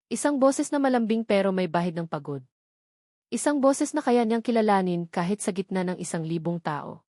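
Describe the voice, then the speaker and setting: A woman's voice: medium pitch, darkish tone, no echo, studio quality. Woman narrating a dramatic love story.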